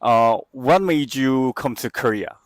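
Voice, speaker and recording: A man interviewing people on the street, asking brief questions and giving soft acknowledgments. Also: medium pitch, animated, unhurried, no echo. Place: outdoors on a street.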